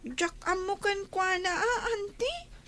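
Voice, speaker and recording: A woman's voice: very high-pitched, animated, slow pace, fairly bright tone, full character voice. A woman with an Ilocano accent voicing a hesitant, pleading young village child in a comedy radio drama.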